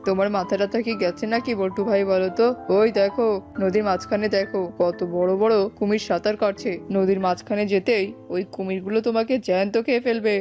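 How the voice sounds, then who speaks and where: A woman's voice: medium pitch, a little mellow, highs cut off, natural voice. A woman voicing a blunt, cautioning male neighbour in a cartoon, scolding and questioning. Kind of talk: cartoon dub acting. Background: music.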